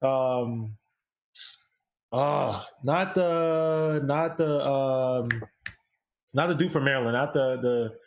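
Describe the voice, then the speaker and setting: A man with a medium-pitched voice speaks as a review panelist. His delivery is casual and conversational, laying out an opinionated critique. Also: takes it slowly, pauses often, highs cut off.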